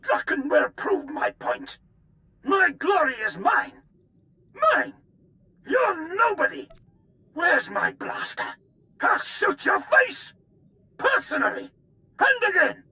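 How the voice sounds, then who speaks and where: An actor playing an unhinged, incoherent old military officer in a video game, ranting with paranoia and erratic bursts of shouting. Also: male voice, very high voice, wildly swooping pitch, takes it slowly, long pauses, exaggerated voice. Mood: raving fury. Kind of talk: theatrical video game voice acting.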